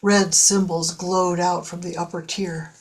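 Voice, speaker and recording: A woman's voice: fairly low pitch, level tone, unhurried, husky, low-quality recording. A woman reading scripted narration for an audio drama.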